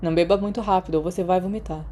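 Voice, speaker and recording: A caring teenage schoolboy from a storybook, read by a woman with a Brazilian accent. He talks casually and matter-of-factly, in a mellow, relaxed tone, like a practical friend taking charge. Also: on the low side, very fast, lightly acted. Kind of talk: storybook character dialogue.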